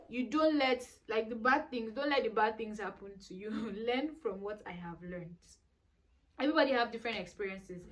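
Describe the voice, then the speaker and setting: A woman's voice: a little high, a bit bright, slight background noise. A woman in her twenties talking to camera in a casual vlog, giving earnest, preachy life advice and repeating herself for emphasis. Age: 20s. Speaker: vlogger.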